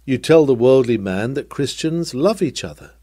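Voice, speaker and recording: Man reading a sermon, animated pitch swings. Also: no room sound, studio quality. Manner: emphatic, declamatory, deliberate phrasing. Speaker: sermon reader. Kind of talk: dramatic read-aloud of a 19th-century sermon.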